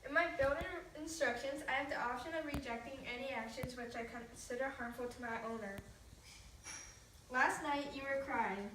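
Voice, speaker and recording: A woman's voice: high voice, normal speed, close to an everyday voice. A woman playing a polite, literal robot in readers theatre, speaking in formal, deferential phrases. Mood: earnest. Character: polite, literal, eager-to-learn household robot. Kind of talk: amateur readers theatre performance. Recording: distant mic, very echoey room, faint noise.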